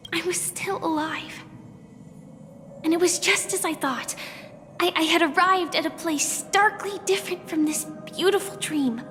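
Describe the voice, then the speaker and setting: An actress playing an earnest, wistful young woman hunter in a video game, speaking gently and with measured, hesitant pauses. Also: high voice. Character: soft-spoken young female hunter confessing her secret identity, earnest, wistful. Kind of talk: scripted video game story dialogue. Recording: long reverb, music behind.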